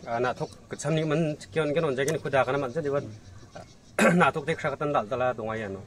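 A man's voice: mid-range voice, quick pace, fairly bright tone, distant mic. A man in his thirties, an event organizer, answering interview questions outdoors by a pond in a hesitant way, often opening with "ah". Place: outdoors by a rural fish pond.

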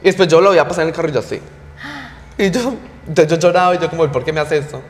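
Fast-talking paisa man, lightish voice. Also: a little high, a crowd in the background. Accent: Colombian paisa. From Medellín, Colombia. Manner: animated, acts out quoted remarks. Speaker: podcast guest, content creator. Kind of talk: casual chat, personal storytelling. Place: small indoor podcast studio. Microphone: close boom-arm microphone. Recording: echoey room, faint music.

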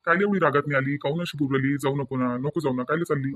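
A man's voice: a little high, fast talker, a bit bright. A man with a Varhadi Marathi accent playing a conciliatory grown family man in a cartoon drama, coaxing and reasoning with repeated phrases. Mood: pleading.

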